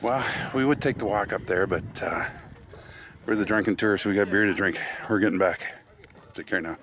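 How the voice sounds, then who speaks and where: A man's voice: lowish voice, normal speed. A middle-aged man chatting casually and jokily for his travel vlog, talking into a camera held at arm's length. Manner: jokey, chatty, enthusiastic. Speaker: travel vlogger. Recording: loud background noise.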